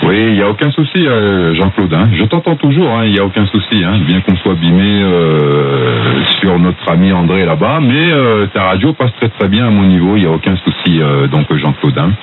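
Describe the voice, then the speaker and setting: Deep-voiced Frenchman over a hissy radio link. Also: very animated, moderate pace, few pauses. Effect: radio transmission. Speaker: amateur radio operator. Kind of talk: casual radio exchange. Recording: muffled, hissy amateur radio signal.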